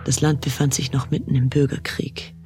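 A woman's voice: very deep voice, flat delivery, normal speed. A woman narrating a radio play as a sober storyteller. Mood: sober, mysterious. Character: sober female storyteller recounting a mysterious life. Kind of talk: scripted radio drama narration. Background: plucked guitar music.

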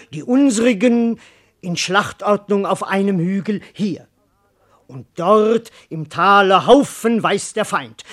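An actor playing a cowardly, boastful, cheeky servant in a classical verse radio play, declaiming in a wordy, comically self-important way. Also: male voice, sits high.

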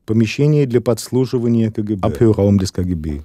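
A man's voice: on the low side, animated, fast talker, warm tone, lightly acted. A man narrating scene settings for a radio drama in clipped, factual announcements. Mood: matter-of-fact. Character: terse bilingual announcer setting scenes in Russian and German.

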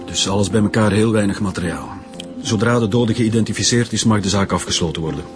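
An actor playing a dismissive, impatient police director in a radio drama, curt. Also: male voice, on the low side, fast talker, over music, lightly acted.

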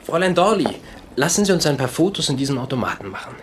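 A man's voice: on the high side, very animated, fast talker. An actor playing an eager, politely coaxing male suitor in a German radio drama. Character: eager, coaxing suitor inviting a young lady.

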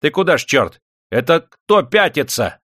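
A man's voice: a little high, big pitch swings, moderate pace, fairly bright tone, breathy edge, no echo, studio quality, pitched above the performer's own voice, exaggerated voice. A man voicing a domineering, gleefully cruel eighteenth-century factory owner in an audiobook, bellowing and crowing his exclamations. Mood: excited, gleeful. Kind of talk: dramatised audiobook character voice.

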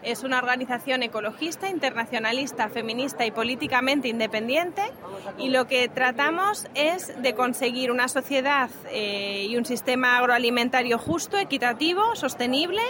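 A young woman with a high voice explains her organisation's work in an articulate interview answer. She is recorded at a fair stand with a busy crowd around her. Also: brisk. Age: young adult. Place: stand in an indoor fair hall. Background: crowd murmur.

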